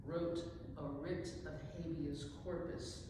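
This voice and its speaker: Man reading as proud indignant abolitionist, slow speechy delivery, way echoey noisy hall. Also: a little high, flat delivery. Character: proud, indignant abolitionist who helped free a slave. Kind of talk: dramatic poetry reading. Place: echoing indoor hall. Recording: echoey hall, distant mic, clear noise.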